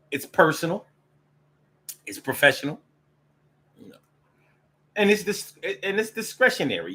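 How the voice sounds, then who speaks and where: A man's voice: a little high, sharp tone. An American man giving a casual, opinionated solo monologue in streetwise slang, repeating phrases for emphasis. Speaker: solo show host.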